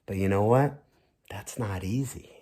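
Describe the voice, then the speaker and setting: A man teacher reading a storybook aloud to young children, expressive and chatting directly to them with simple questions. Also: lowish voice, unhurried, breathy edge.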